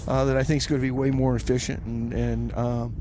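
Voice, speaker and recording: A man's voice: mid-range voice, flat delivery, brisk. A male radio host with a mid-range voice talks in a chatty, folksy way. He repeats phrases for emphasis as he banters casually between songs, with some noise behind him. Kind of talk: casual music radio hosting with comedy banter. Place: radio studio.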